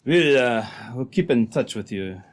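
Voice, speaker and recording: A man playing a scheming, double-crossing uncle in an old-time radio adventure, talking in a halting, insinuating way. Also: mid-range voice, animated, a little hiss or hum, close to an everyday voice. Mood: feigned concern.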